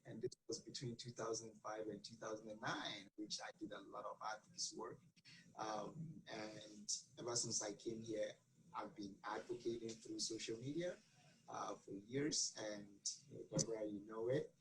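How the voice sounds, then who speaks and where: Low-voiced man, earnest. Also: steady tone, moderate pace, background noise. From Ghana. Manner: earnest, many fillers and restarts. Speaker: guest. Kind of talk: interview answers.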